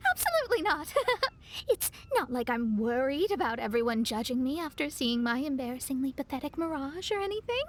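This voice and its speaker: A woman playing a proud, self-conscious young astrologer with a brisk, expressive manner in a video game. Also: high-pitched, a little hiss or hum. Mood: embarrassed. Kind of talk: video game cutscene acting.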